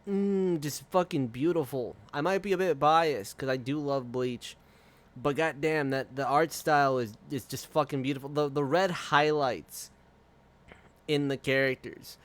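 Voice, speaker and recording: A man's voice: fairly high pitch, measured pace. A man streaming live, chatting casually and rambling with frequent swearing and laughter. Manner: profane, rambling, laughs often.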